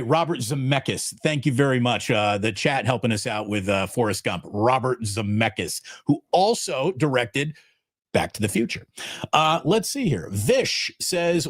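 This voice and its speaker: A mid-pitched man co-hosting a casual sports talk show. He talks emphatically and repeats phrases to drive a point home. The sound is dry and close. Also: no echo.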